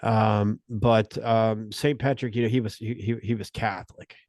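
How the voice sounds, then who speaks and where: A middle-aged man hosting a casual podcast chat, rambling and halting as he talks. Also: on the low side, little pitch movement, darkish tone, no echo. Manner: rambling, halting, restarts often. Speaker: podcast host.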